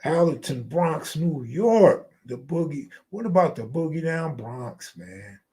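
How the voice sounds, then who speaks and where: A man's voice: fairly high pitch, expressive, measured pace, mellow. An American man hosting a live stream, chatting casually in slangy, profane, streetwise talk.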